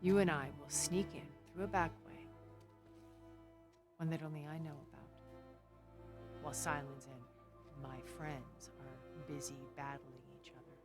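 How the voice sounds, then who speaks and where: An actress playing a poised, worldly immortal woman with a measured, polished delivery in a video game. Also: deep voice, steady tone. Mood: wry, conspiratorial. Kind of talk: dramatic video game dialogue. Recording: music behind the voice.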